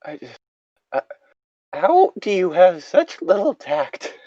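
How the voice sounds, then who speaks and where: A man bantering casually and reading a story aloud haltingly, recorded on a slightly dull mic. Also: high-pitched, sing-song, mellow, dry recording.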